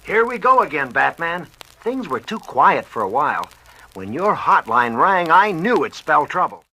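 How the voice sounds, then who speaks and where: A keen young sidekick from an old superhero record. The voice is bright and sharp, fairly high, with lively swings in pitch as he stays wary of trouble. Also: moderate pace, exaggerated voice, rough recording. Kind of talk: vintage superhero record dramatization.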